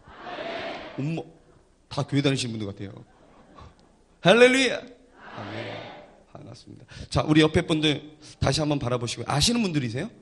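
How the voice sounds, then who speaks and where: A man's voice: mid-range voice, moderate pace, creaky, long pauses, slightly rough. A male pastor leading a church service, joking with the congregation and coaxing them to greet each other and answer back. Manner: energetic, coaxing crowd responses.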